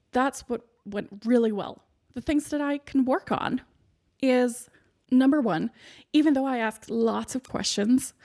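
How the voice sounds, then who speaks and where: A woman with a soothing, somewhat high voice and a gravelly edge hosts her podcast, coaching listeners on public speaking. She works through her advice in numbered points with steady encouragement. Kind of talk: solo podcast advice monologue. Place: home studio in the UK.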